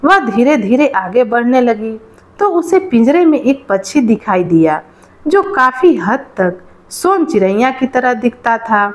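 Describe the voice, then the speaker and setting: A woman narrates a moral folk tale in a fairly high voice. Her pitch moves up and down in a lively way, and she takes her time over each sentence as a storyteller. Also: a little slow.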